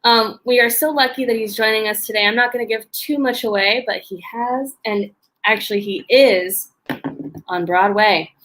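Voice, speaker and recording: A woman's voice: fairly high pitch, normal speed, a bit bright. A woman teacher hosting an online interview, enthusiastic and a little hesitant as she asks her questions. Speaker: host, teacher.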